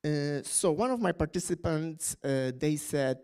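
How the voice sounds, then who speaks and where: A middle-aged man talks through an academic point in English. His voice sits a little high for a man, and he pauses often, restarting phrases and filling gaps with "uh" and "um". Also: a little mellow, smooth. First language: Polish. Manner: hesitant, many fillers and restarts. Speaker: academic panelist. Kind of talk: academic panel talk.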